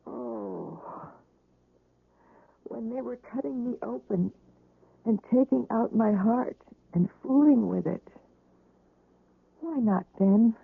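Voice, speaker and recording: Weary, despairing wife, husky voice. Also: female voice, on the high side, lively intonation, narrow, tinny audio, heavily acted. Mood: anguished, pleading. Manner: mournful, yearning, deliberate. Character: weary, despairing wife recovering from heart surgery. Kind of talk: radio drama acting. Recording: roomy, band-limited old radio recording.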